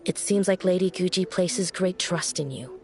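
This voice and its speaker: A woman's voice: fairly low pitch, moderate pace, darkish tone, lightly acted. A woman playing a polite, earnest young shrine maiden in a video game, speaking respectfully. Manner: courteous, respectful.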